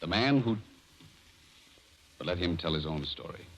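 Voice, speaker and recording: A man's voice: low-pitched, very animated, normal speed. Male vintage radio announcer, sweeping intonation, formal, dramatic, scripted, muffled old broadcast recording.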